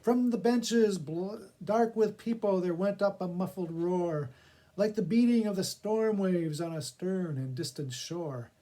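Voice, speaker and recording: A man with a slightly high voice reads for a library audience. He speaks as a theatrical storyteller, giving verse and introductions an expressive, spoken-word feel. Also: measured pace, poor audio. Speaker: poetry reader for a public library reading project. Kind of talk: dramatic poetry recitation with casual spoken introduction.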